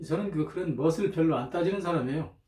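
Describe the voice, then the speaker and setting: A man talking in an informal, rambling monologue, hesitant, often clearing his throat, with a slightly strained throat, on a cheap microphone. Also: fairly high pitch, quick pace, warm tone. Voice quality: strained throat from chronic irritation. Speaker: lecturer, channel host. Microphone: cheap, low-volume microphone. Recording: roomy, distant cheap microphone.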